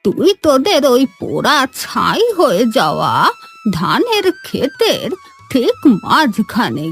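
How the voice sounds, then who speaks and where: A woman voicing a mysterious, kindly old village granny in a fairy-tale cartoon, husky and consoling. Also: fairly high pitch, heavily acted. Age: older.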